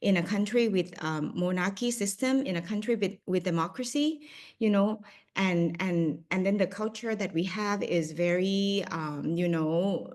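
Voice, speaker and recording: A woman's voice: mid-range voice, unhurried, a little mellow. A woman from Thailand with a Thai accent leading an online workshop, speaking hesitantly with many fillers and restarts. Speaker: workshop facilitator.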